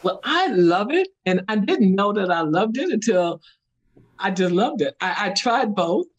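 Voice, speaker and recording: A middle-aged woman talks as an interview guest about her work as a meditation guide. She tells her stories in an animated way, laughs often and jumps up into high, playful voices whenever she quotes someone. Her speaking voice sits in a medium range. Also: unhurried, husky. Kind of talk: animated conversational storytelling.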